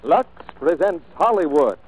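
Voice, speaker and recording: A man's voice: on the high side, sing-song, a little slow, low-quality recording. A man announcing a 1940s radio show in a grand, formal manner. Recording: old radio broadcast with loud music.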